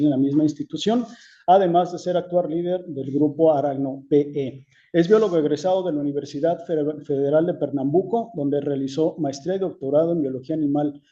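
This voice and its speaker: A man, hosting a seminar, reads a formal introduction with the odd filler word. His voice is very dark and mellow though a bit high, and the recording sounds dull and muffled. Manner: formal, reading a bio with fillers. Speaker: seminar host.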